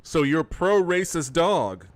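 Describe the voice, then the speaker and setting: A man's voice: fairly high pitch, very animated, takes it slowly, slight background noise. A man streaming a game with casual, improvised commentary, provocative and mock-serious, with some swearing. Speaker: streamer playing an AI game demo.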